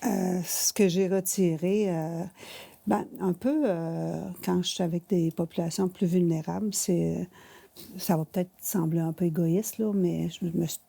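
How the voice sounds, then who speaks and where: A woman in her sixties with a Quebec French accent, answering interview questions conversationally in halting, hesitant phrases. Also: lowish voice, fairly even intonation, gravelly, mellow, no echo. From rural Quebec, Canada. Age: 60s. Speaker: interview guest, finance manager.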